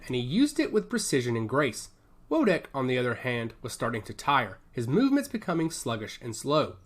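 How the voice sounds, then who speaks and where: A man's voice: mid-range voice, a bit bright. A man narrating an action story as a scripted, dramatic audiobook.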